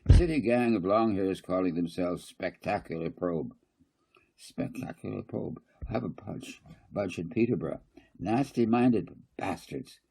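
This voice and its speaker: A man's voice: sits low, measured pace, creaky, dark tone, husky, exaggerated voice, low-quality recording. A man voicing a worried, brassy land developer in a storybook, grave and grumbling. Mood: contemptuous.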